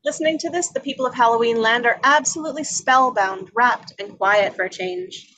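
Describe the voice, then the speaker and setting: High-voiced woman reading screenplay directions. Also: a little fast, bright, forceful tone, slight background noise, low-quality recording. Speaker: reader of action descriptions. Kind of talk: scripted narration of screenplay directions.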